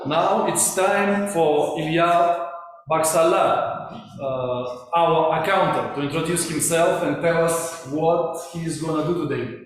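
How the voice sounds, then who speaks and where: A man's voice: on the high side, slow pace. A man with a Russian accent hosting a speaking club meeting, courteous and playful as he hands over the floor. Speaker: meeting host. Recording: distant, long-reverb hall sound with faint noise.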